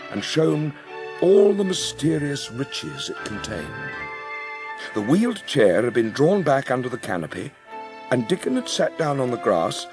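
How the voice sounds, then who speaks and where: A man narrating a children's story in a measured, expressive way, as narrator of an audiobook drama. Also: medium pitch.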